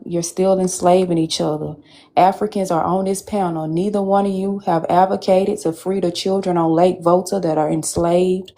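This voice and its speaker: A woman's voice: lowish voice, mellow. A woman, arguing bluntly on a video call and repeating her demands. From Southern US, Georgia. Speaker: debate panelist. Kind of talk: heated online debate.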